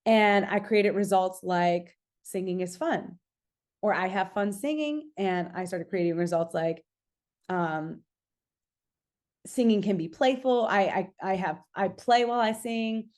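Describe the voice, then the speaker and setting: A woman's voice: mid-range voice, a little slow, darkish tone. A woman hosting a casual motivational podcast, speaking emphatically, repeating key phrases and checking in with the listener as she coaches. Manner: acts out own thoughts as quoted lines. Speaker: podcast host and singing artist coaching listeners.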